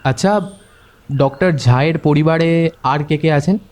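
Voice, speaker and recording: Male actor for decisive detective in audio thriller; slightly high voice, brusque, probing delivery. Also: unhurried, a little mellow, lightly acted. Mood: pensive, probing. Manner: firm, questioning, brusque. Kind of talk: Bengali audio drama acting.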